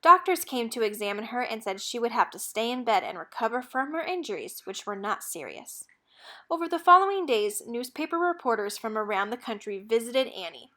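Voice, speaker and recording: Female storybook narrator, higher-pitched voice, expressive and measured read-aloud delivery. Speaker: storybook reader. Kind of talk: read-aloud narration of a children's picture book.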